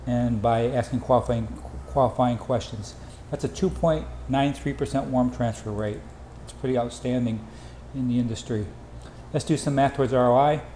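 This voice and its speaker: Man presenting in an echoey room, mellow tone. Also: mid-range voice. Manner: hurried, clipped phrasing. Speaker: company sales presenter. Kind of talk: informal slide presentation pitch. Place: indoor room, voice-over for slides. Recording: roomy echo, faint background noise.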